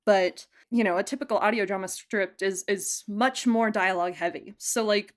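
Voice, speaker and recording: A woman giving a casual interview as an audio drama director, talking in an animated, chatty way that wanders into asides. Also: medium pitch. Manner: animated, chatty, digressive storytelling.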